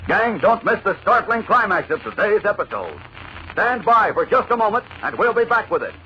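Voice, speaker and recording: A man's voice: high-pitched, big pitch swings, normal speed. A man narrating a 1940s radio serial in a dramatic, scripted style. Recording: noisy, muffled 1940s radio recording.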